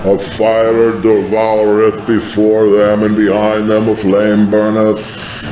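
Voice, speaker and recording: A grave voice of prophecy, performed by a man, declaims scripture of doom slowly and deliberately. The recording is echoing, with clear rumbling noise behind the solemn reading. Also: completely flat delivery. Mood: grave, foreboding. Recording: echoing, noisy, rumbling background.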